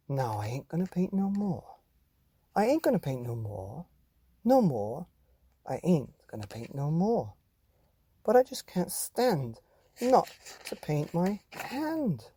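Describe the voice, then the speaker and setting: A man acts out a mischievous child from a picture book, chanting in playful rhyme. The delivery is sing-song and exaggerated, with drawn-out words and very lively pitch, recorded dry. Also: breathy edge, no echo, heavily acted. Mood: mischievous, gleeful. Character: mischievous child gleefully painting own body, chanting rhymes.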